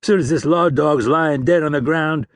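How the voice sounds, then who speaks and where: A man's voice: a little high, normal speed, dry recording, voice pushed up, close to an everyday voice. Mean gunslinger calling out, raised and scornful, man doing the voice, dry close sound. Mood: menacing. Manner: threatening, scornful. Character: hard, menacing male gunslinger outlaw.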